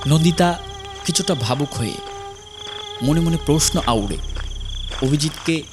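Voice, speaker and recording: A man's voice: a little high, lively intonation, unhurried, music in the background. A man narrating a scripted horror story in a dramatic storytelling style.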